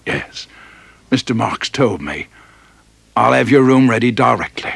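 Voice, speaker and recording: A man voicing an elderly, deferential mess steward, gentle, homely and unhurried. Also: mid-range voice, lively intonation, vocal fry, slightly rough, dry recording, full character voice. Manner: soft-spoken, respectful, unhurried.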